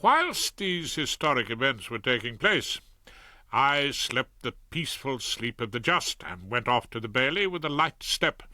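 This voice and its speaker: An older man narrating a story in the first person with a dry, ironic wit. His voice is bright and lively in pitch, recorded close and dry with no room sound. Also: measured pace, no echo. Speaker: audiobook narrator.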